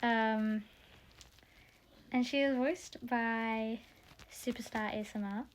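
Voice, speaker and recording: A young woman introducing the cast of her audio drama in casual chat, rambling and a little croaky from being tired. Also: a little high, steady tone, slow pace, a little hiss or hum. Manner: chatty, rambling, self-interrupting.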